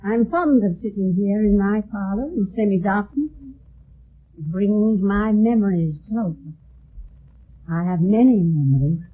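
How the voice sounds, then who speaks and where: A woman's voice: medium pitch, breathy edge. An actress playing an older, genteel but sinister landlady in an old radio mystery. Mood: brooding, polite. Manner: genteel, wistful, faintly sinister politeness. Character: eerie, genteel older landlady who broods in shadows. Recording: muffled, tinny old radio recording.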